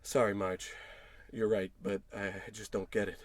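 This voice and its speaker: A man's voice: fairly low pitch, level tone, moderate pace, no room sound, lightly acted. A man playing an irritable, money-worried working-class husband in a blustering, sarcastic old-time radio comedy style. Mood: brooding. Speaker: actor in scripted comedy podcast.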